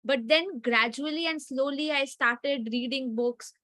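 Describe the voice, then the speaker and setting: A woman's voice: sits high, measured pace, sharp tone, no echo, studio quality. A young woman with an Indian English accent and Hindi as her first language, asking long, reflective interview questions with personal asides. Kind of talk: casual conversational interview.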